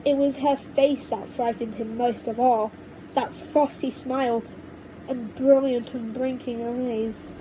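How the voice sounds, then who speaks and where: A woman reading an audiobook as an amateur storyteller, haltingly, sometimes repeating words. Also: fairly even intonation. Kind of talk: audiobook storytelling narration, informal asides. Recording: noisy low-quality home microphone.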